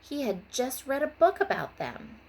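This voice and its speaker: A woman teacher reading a children's storybook aloud in an expressive way. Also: sits high, moderate pace, slight background noise, poor audio. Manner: expressive, voices the characters' dialogue. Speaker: elementary school teacher.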